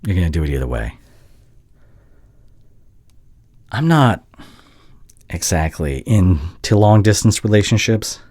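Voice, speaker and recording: A man acting a conflicted doctor and caring ex-boyfriend in an intimate roleplay drama, halting and earnest, with a husky, breathy voice. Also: low voice, expressive, darkish tone, no echo. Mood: frustrated, defensive. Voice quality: husky, breathy, often dropping to a whisper.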